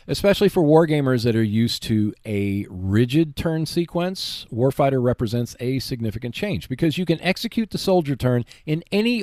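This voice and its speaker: A man talks as the host of a tabletop gaming show, with a pitch that moves up and down a great deal. He explains tips step by step, stressing points and reassuring the listener. The sound is close and dry, with a medium-pitched voice. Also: a little mellow, no echo. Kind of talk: instructional tips talk on board wargaming.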